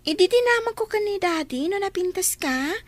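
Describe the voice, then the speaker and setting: Very high, childlike voice of a sweet, polite little girl played by a woman, close and dry, eager to please. The delivery is that of radio-style drama. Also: expressive, normal speed, no echo. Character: sweet, eager young girl, the employer's daughter.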